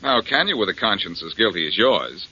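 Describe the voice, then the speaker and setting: An actor playing a self-assured, wisecracking detective hero in a 1940s radio drama, breezy and dry. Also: male voice, fairly low pitch, expressive, fairly quick, sharp tone, breathy edge, full character voice. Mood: wry, teasing. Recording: muffled 1940s radio recording with music.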